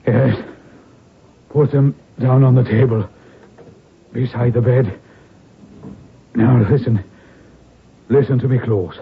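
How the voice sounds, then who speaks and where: An actor playing a wounded, middle-aged Irish rebel lord on his deathbed in a radio drama, weary and halting, with an Irish accent. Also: mid-range voice, a little hiss or hum, natural voice. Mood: urgent. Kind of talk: old-time radio drama acting. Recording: muffled, narrow-band vintage radio recording.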